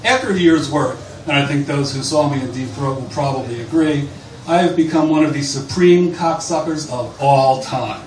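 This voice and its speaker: A man's voice: medium pitch, moderate pace. Male author at a live bar reading, roomy echo and faint noise around the voice. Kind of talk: live literary reading aloud from a book. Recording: distant, echoey, noisy live bar recording.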